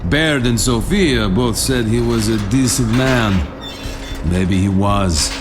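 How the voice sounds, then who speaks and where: An actor playing a bitter, defiant ex-enemy soldier with a dry, sardonic manner in a video game cutscene. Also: male voice, lowish voice, takes it slowly. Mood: grim. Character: bitter, defiant ex-enemy soldier turned private, scarred veteran. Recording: music behind the voice.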